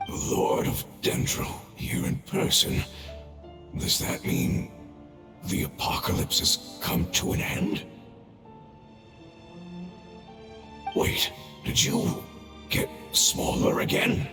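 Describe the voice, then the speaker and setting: An actor voicing a weary, dying ancient guardian creature in a video game, solemn and formal, with a gravelly rumble. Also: male voice, slow, distant mic, lightly acted. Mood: weary wonder. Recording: echoing, with faint music.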